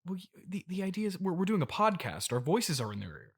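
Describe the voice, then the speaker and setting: A man playing an awkward middle-aged father and podcast host in an improvised comedy interview, halting and placating. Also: sits high, very animated, breathy edge, heavily acted. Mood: patient, halting.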